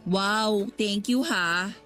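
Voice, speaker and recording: A woman's voice: medium pitch, extremely slow, a bit bright. An actress playing an exasperated, sulky young working girlfriend with a Filipino accent, huffy and nagging in a radio drama. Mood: sulky, annoyed. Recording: background music under the voice.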